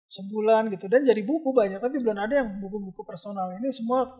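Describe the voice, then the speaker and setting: A man's voice: high-pitched, fast, a little mellow. A man from Indonesia, a photographer guest, telling rambling stories in a casual interview with many fillers. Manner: chatty, rambling storyteller with frequent fillers.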